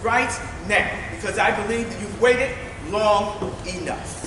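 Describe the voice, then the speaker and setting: A woman's voice: mid-range voice, a little slow. A woman running for mayor, giving an earnest, emphatic forum speech that repeats phrases for stress. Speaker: mayoral candidate. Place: large meeting hall. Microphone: distant room mic. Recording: echoey hall from far off, noisy.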